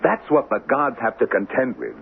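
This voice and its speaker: An actor in a 1970s radio drama playing the Devil as a boastful, sly, theatrical hustler. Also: male voice, mid-range voice, very animated, normal speed, creaky. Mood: grandiose. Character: the Devil as a smug, grandiose, wheedling male hustler. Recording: tinny, noisy 1970s radio broadcast.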